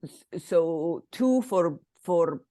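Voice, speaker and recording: A woman with an Italian accent speaks in a fairly low voice, recorded close and dry. She talks conversationally as an interview guest, pausing and repeating phrases as she explains things. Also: expressive, dark tone, no room sound. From Italy. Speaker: interview guest, business mastermind coach.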